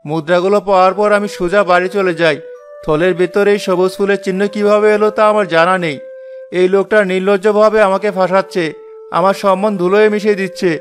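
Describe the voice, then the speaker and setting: A man's voice: sits high, steady tone, quick pace, faint music behind, lightly acted. A man voicing an honest, poor woodcutter in a cartoon tale, earnestly protesting that he is wronged. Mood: pleading. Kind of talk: dramatic cartoon voice acting.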